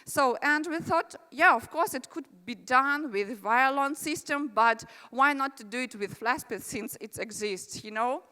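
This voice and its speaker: A woman with a Russian accent talks to a conference audience in a chatty, friendly way, adding asides as she goes. Her voice is a little high and bright. A large hall adds a long reverb behind her. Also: a little slow, few pauses, clear, resonant tone. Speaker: conference presenter. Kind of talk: conference presentation.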